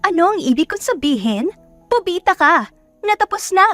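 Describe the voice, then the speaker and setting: A woman acts out a spiteful young woman with a very high, shrill voice. She is haughty and accusing, scolding in the heated manner of an audio melodrama. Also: sing-song, moderate pace, a bit bright, no echo, soft background music, full character voice. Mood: furious, accusing. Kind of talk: dramatic audio story acting.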